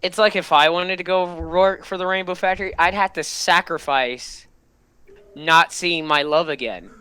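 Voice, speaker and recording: A man giving casual, excitable reaction commentary as a host, often bursting into exclamations. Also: high voice, normal speed, smooth, no echo.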